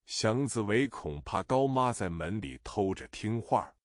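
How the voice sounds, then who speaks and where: A man's voice: fairly low pitch, animated, a little slow, no room sound, studio quality. A man reading a novel aloud as narrator, expressively voicing the characters' lines. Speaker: audiobook narrator.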